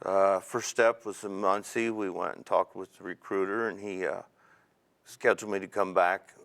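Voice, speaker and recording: A man in his fifties from Indiana with a very low voice recalls his army days. He talks in a measured, reflective way and pauses often. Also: steady tone, creaky, fairly bright tone. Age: 50s. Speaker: interview guest, Army veteran. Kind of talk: oral history interview.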